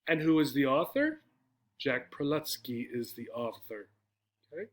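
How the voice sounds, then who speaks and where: A man's voice: medium pitch, big pitch swings, a little slow. A man teaching English, explaining step by step in a didactic way with frequent questions and check-in tags. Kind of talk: explanatory English lesson with poem reading.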